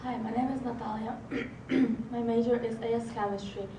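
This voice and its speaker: A young woman from Russia, a native Russian speaker, introducing herself as a student writing consultant in a halting, hesitant way. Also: fairly high pitch, fairly even intonation. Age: young adult. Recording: echoey room, distant mic, background noise.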